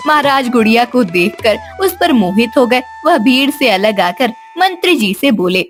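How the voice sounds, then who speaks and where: High-pitched woman narrating a children's story. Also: sing-song, moderate pace, faint music behind.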